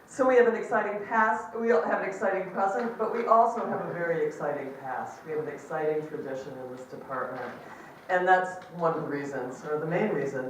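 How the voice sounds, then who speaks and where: A woman's voice: deep voice, recorded from a distance, a little hiss or hum. Enthusiastic woman speaking in an echoey hall. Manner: upbeat, enthusiastic, with frequent ums. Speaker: department chair. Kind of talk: ceremony welcome speech. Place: large echoey lecture hall. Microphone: amplified microphone recorded from a distance.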